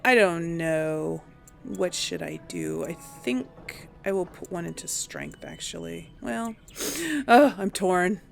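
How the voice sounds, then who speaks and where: Female let's play narrator, casual, slow-paced, thinking aloud, creaky edge to the voice. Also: lowish voice, very animated, soft background music. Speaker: game streamer narrating a role play.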